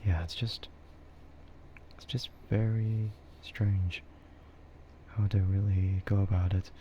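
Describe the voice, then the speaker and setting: A soft-spoken man streaming casual, rambling ASMR, often slipping into a half-whisper close to the microphone. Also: deep voice, flat delivery, takes it slowly, dull audio, a little hiss or hum. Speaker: VTuber livestreamer.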